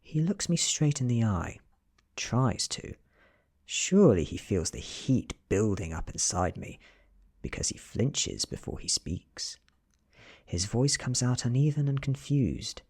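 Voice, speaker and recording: A British man reading a story aloud in a soft-spoken ASMR style, breathy and often close to a whisper, with slow, deliberate delivery. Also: mid-range voice, very animated. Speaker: ASMR reader.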